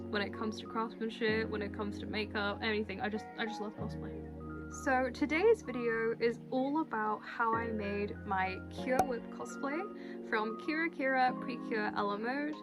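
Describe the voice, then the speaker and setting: A young woman with a bubbly, expressive and slangy manner, chatting to camera as a video creator introducing her vlog. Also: a little high, normal speed. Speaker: cosplay video creator and host. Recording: music playing behind the voice. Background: music.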